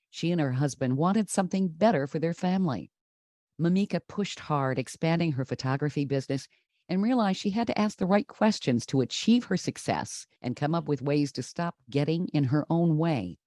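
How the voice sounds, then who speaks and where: A woman's voice: sits low, dark tone, dry recording, clean studio sound. A woman co-hosting a trucking podcast, reading the introduction and then interviewing a guest. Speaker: podcast co-host. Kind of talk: scripted show intro and interview.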